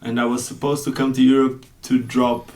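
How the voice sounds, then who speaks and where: A man hosting a casual podcast chat in a skate shop, relaxed and chatty, laughing often. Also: mid-range voice, a little fast. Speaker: skate vlogger and podcast host. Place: skate shop, sound not the best.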